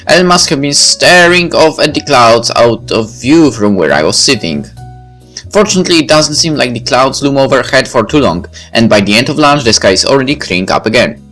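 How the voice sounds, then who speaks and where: A man's voice: medium pitch, expressive, brisk, fairly bright tone. A man reading first-person visual novel narration aloud, with music behind him.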